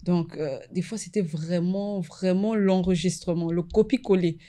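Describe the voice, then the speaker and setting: A woman, an environmental lawyer from the Republic of the Congo, answering interview questions in a measured, casual way. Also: on the low side, fairly even intonation, mellow. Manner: measured, repeats "effectivement" often.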